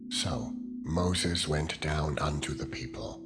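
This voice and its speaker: A man narrating a dramatized scripture reading in a solemn, measured way. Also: very deep voice. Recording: loud music under the voice. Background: loud music.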